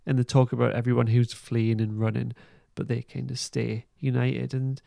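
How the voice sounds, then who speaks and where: A male podcast host with a mid-pitched, gravelly voice. He recaps a story casually and haltingly, feeling his way through sentences, and is recorded close and dry. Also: little pitch movement, mellow, no room sound. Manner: hesitant, halting, informal. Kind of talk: casual plot recap and review.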